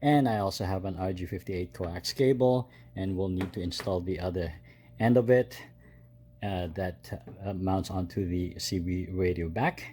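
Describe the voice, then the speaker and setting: A man giving a casual, unscripted step-by-step tutorial as a DIY host, with halting delivery. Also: low voice, mellow. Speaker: host of a DIY truck modification video. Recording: clear background noise, ambient sound.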